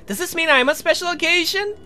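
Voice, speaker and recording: Man putting on an excitable girlish young woman, high-pitched. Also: fast talker, slight background noise, full character voice. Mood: delighted, teasing.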